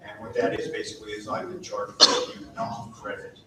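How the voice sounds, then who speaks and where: A man's voice: lowish voice, completely flat delivery, fairly quick, bright, forceful tone, a little hiss or hum. A community college dean talking on a conference panel in a conversational way, often adding "right?" as he explains. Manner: conversational, frequent "right?" asides. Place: large conference hall with audience. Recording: echoey hall, mic at a distance, audience present.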